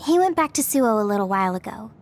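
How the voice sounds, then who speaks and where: A woman's voice: mid-range voice, big pitch swings, fast talker, gravelly. A woman voicing a sweet, caring young female soldier in an anime-style video game. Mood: matter-of-fact.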